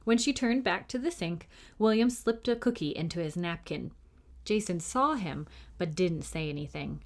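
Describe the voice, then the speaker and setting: A woman's voice: lowish voice, lively intonation, moderate pace. A woman reading a children's novel aloud as narrator for a library storytime.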